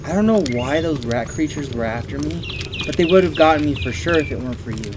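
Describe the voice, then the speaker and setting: A woman's voice: sits low, lively intonation, fast talker, noisy background. A woman voicing a small, sweet, earnest male cartoon creature with an eager, boyish manner in a fan comic dub. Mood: sincere. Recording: music under the voice.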